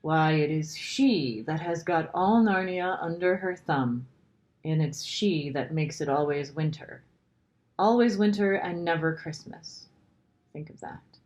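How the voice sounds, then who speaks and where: A woman voicing a gentle, guilt-ridden male faun in a children's storybook, anguished and pleading in a dramatic way. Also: low voice, a little slow. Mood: guilty, miserable. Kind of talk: children's storybook character reading.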